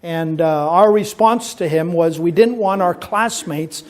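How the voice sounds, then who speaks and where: A pastor in his fifties, raised in Taiwan, giving a relaxed talk to young people at a church, telling stories with light jokes and many pauses. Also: a little high. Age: 50s. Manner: relaxed storytelling with gentle jokes and frequent "uh" pauses. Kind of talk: informal talk to a youth audience at a church event.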